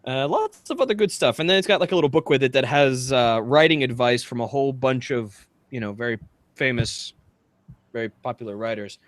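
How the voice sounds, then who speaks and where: A man's voice: mid-range voice, animated, fairly quick, no room sound. A man co-hosting a podcast in casual chat, rambling and hesitant, with dry self-deprecating humour. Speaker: podcast co-host.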